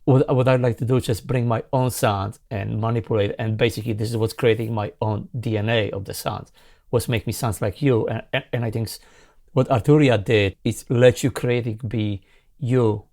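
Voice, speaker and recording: A man in his forties, a non-native English speaker, hosting a casual synthesizer tutorial in an enthusiastic, halting way. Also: medium pitch, fairly even intonation. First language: not English. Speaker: host, sound designer demonstrating a synthesizer plugin. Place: small home music studio, indoors. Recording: synthesizer music behind the voice. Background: loud synthesizer sounds and music.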